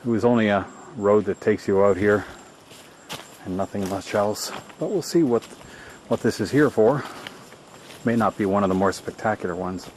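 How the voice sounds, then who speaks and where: A man's voice: fairly low pitch, moderate pace, long pauses, darkish tone. A man giving casual, musing commentary for a travel vlog while out on a coastal track. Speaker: travel vlogger. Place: outdoors on a rocky coastal track. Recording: noisy outdoor audio, surf and wind-like ambience.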